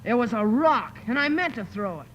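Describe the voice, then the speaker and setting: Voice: mid-range voice, big pitch swings, normal speed, fairly bright tone, highs cut off, a little hiss or hum. An actor playing a brash, mischievous, defiant neighborhood boy in 1940s radio acting, on a reverberant old broadcast. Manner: blunt, defiant.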